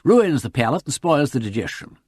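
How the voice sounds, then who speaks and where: A man voicing a breezy, urbane aristocratic gentleman with a clipped, polite upper-class English accent in an audiobook. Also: medium pitch, sing-song, brisk, slightly rough, no echo, studio quality, voice pushed up. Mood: emphatic.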